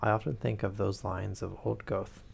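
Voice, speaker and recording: An aloof, rational detective speaks in measured, dry sentences, as a man reading aloud voices him. The voice is low and gravelly. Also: fairly even intonation, slight background noise. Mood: cool. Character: cool, aloof male detective, reasoning.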